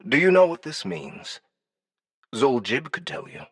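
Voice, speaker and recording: A man voicing a cunning alien dignitary in an audiobook, probing with languid, deliberate menace. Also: mid-range voice, very animated, husky, dry recording, studio quality, pitched above the performer's own voice. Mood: veiled threat.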